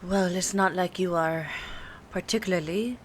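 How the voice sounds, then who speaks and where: A woman acting an aloof, teasing old vampire in an ASMR roleplay, speaking softly and formally with hesitant pauses, often near a whisper. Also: on the low side, natural voice. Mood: reluctantly warming. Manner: formal, hesitant, haughty phrasing with drawn-out pauses. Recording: clear background ambience noise. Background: rain, city ambience, soft music.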